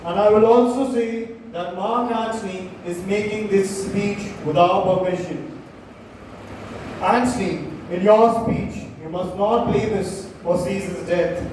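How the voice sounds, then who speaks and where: An earnest Roman noble, acted by a young man on a school stage. He speaks slowly and formally in a mellow voice. The sound is distant, washed in heavy room echo. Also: sits high, steady tone, slight background noise. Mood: calm, firm. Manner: measured, formal. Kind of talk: school stage play acting. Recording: distant mic in echoey hall.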